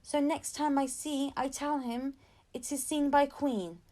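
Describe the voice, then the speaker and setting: A woman voicing an earnest, anxious young refugee boy from Syria who speaks halting, broken English in an audiobook. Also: high voice, steady tone, darkish tone, pitched above the performer's own voice.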